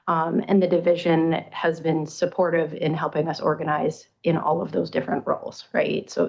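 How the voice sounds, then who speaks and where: A woman's voice: deep voice, gravelly, darkish tone, breathy edge, lo-fi sound. A Canadian woman family doctor answering council questions in a methodical way. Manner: methodical, self-correcting. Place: council chamber.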